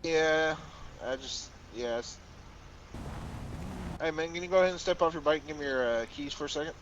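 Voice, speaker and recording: A man's voice: fairly high pitch, normal speed. A man roleplaying a police officer in a casual way over game voice chat. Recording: dull lo-fi game voice chat, noisy.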